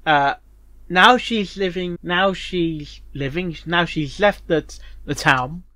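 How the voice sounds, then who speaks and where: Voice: medium pitch, takes it slowly, bright, forceful tone. A host giving casual reaction commentary, rambling and often repeating words and phrases. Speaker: reviewer and host of a fanfiction reaction show.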